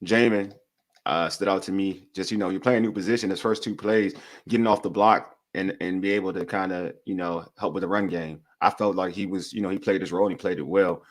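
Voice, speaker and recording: A man co-hosting a casual sports talk show, talking in quick bursts with frequent restarts. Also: sits low. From Nigeria. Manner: animated, talks through restarts. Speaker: podcast co-host.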